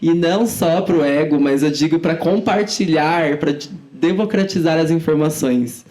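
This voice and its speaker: Young woman, somewhat low-pitched informal speaker. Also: moderate pace, mellow. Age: 20s. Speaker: podcast guest, student activist. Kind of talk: conversational interview answers.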